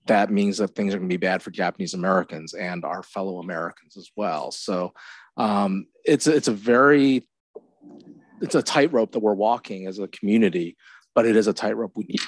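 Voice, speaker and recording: Guy on a stage panel, lowish voice, thoughtful and measured, dry close mic. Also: slightly rough, dry recording. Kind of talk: panel discussion. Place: conference stage, seated on a panel. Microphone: handheld mic.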